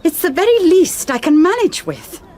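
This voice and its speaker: A woman's voice: very high-pitched, wildly swooping pitch, normal speed, a little mellow, heavily acted. An actress playing a stubborn nun who insists on her garden schemes. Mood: insistent. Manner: insistent, pleading.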